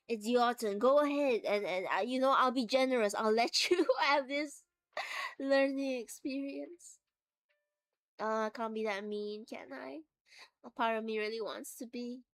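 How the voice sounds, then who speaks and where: A woman streamer chatting casually, laughing often and acting out little quoted voices. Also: fairly high pitch.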